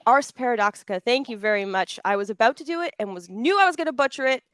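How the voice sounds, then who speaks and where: High-voiced, effusive woman hosting a panel. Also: lively intonation, fast talker, fairly bright tone, clear, resonant tone, no room sound, clean studio sound. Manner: chatty, effusive, emphatic. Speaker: panel host and audio drama voice actor. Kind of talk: hosting a pre-recorded panel, introducing guests.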